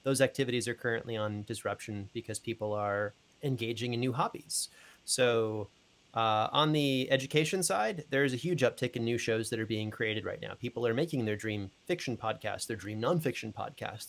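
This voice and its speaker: A young man speaking as a guest producer, explaining things in a measured, matter-of-fact way into a close microphone. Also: mid-range voice, creaky, fairly bright tone. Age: young adult. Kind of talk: explanatory talk. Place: small indoor room, video call. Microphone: close desk microphone.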